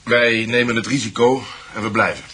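A man's voice: medium pitch, fairly even intonation, normal speed, pushed and bright, breathy edge. A man playing a resolute, inquisitive husband in a radio drama, questioning firmly. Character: resolute, inquisitive husband investigating a sinister mystery.

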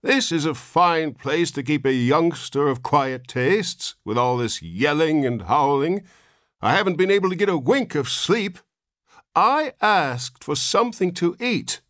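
Guy putting on a whiny old man, shrill and cranky, voice jumps way up. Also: animated, a little slow, fairly bright tone, dry recording, clean studio sound. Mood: complaining. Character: querulous newborn in an old man's body, whining.

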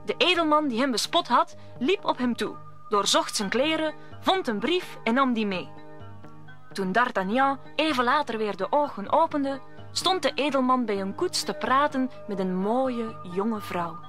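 Woman telling a radio play story, voice a bit high, soft music behind. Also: lively intonation, unhurried. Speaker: narrator.

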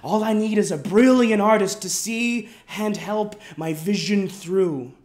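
A man performing a melodramatic reading as a self-important, deluded amateur screenwriter, with pompous, overwrought delivery and dramatic pauses. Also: high-pitched, a little mellow. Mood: fervent, boastful. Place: indoors in a wood-panelled room.

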